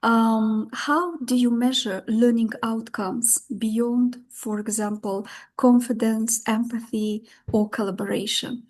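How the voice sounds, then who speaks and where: A woman's voice: a little high, steady tone, slow pace, few pauses. A woman moderating an online webinar, briefly thanking the guest and reading out audience questions.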